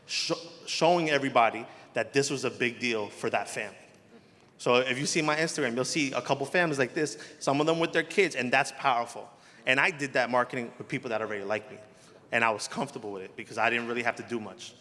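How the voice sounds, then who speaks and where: A man's voice: medium pitch, quick pace, sharp tone. A man in his forties with a heavy New Jersey accent, a mortgage lender chatting casually on a conference panel, self-deprecating and repeating himself. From Elizabeth, New Jersey. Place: conference hall. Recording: echoey hall.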